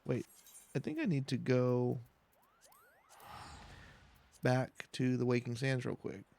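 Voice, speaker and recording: A man reading game dialogue aloud in a relaxed let's play style, now and then tripping over a phrase. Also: mid-range voice, takes it slowly, gravelly. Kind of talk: reading game dialogue aloud in a calm, slow-paced playthrough.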